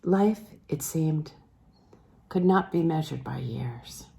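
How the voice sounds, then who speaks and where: A woman narrating literary fiction aloud as an audiobook reader, measured and expressive, letting phrase endings fall away softly. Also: deep voice, creaky, a little hiss or hum. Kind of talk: scripted literary narration, reading a novel aloud.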